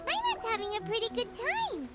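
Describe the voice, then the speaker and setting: A woman voicing a small, chirpy female fairy-like travel companion in a video game, bubbly and excitable, talking about herself by name. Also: very high-pitched, moderate pace. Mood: thoughtful. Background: game music.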